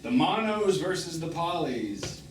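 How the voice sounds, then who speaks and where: A man's voice: fairly high pitch, sing-song, measured pace. Wounded, bitter middle-aged man, distant and echoey. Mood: impassioned, aching. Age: 50s. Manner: theatrical, sarcastic, emphatic. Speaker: stage actor. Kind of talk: live stage drama acting. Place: small theatre, recorded from the audience. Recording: echoey, noisy stage recording from far off.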